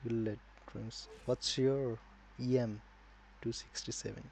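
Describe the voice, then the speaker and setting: A man with a Nepali accent chatting casually as a live game streamer, halting as he thinks aloud. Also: mid-range voice, little pitch movement, vocal fry, a little mellow, slight background noise.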